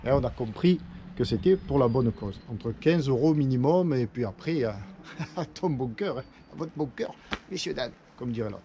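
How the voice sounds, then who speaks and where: A man chats away in a mellow, warm voice, rambling and correcting himself as he goes. His pitch sits mid-range. A faint hiss of noise hangs behind him. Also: animated, moderate pace. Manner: chatty, rambling, self-correcting. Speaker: vlogger and watercolour painter. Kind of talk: casual vlog talk to camera. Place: on a boat.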